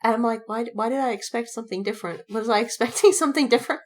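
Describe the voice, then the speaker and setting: A woman podcast co-host chatting casually and telling animated anecdotes, giggly and acting out reactions as she retells them. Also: fairly high pitch, rapid-fire.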